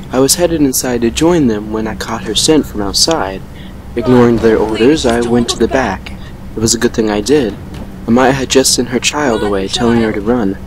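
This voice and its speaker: A man playing a brooding vampire who recounts a painful past with measured regret in an audio drama. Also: mid-range voice, slight background noise, natural voice, poor audio. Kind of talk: fan fiction audio drama monologue.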